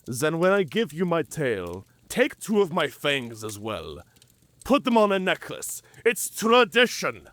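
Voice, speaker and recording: A man's voice: a little high, wildly swooping pitch, unhurried, fairly bright tone, no room sound, heavily acted. A man playing a proud, curious werewolf outcast in an audio drama, with an emphatic, theatrical delivery. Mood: proud, earnest. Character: proud, curious male werewolf outcast, devoted to pack tradition. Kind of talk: cinematic audio roleplay acting.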